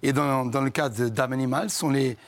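A man's voice: mid-range voice, fairly even intonation, fairly quick. A man speaking as an author guest, explaining his work in a hesitant interview manner.

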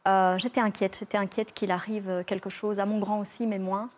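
A woman tells her story as a guest on a talk-show set. Her voice is mid-pitched and her telling is lively, broken by frequent hesitations. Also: brisk. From Belgium. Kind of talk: personal testimony on a daytime talk show. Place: television studio.

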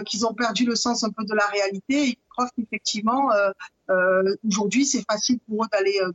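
A woman lawyer speaks over a video link that sounds dull and dry. She answers in a measured way at a middle pitch and often pauses on fillers. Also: no room sound. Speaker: lawyer and victims' collective spokesperson. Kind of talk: studio debate guest. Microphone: video call. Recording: muffled dry video call.

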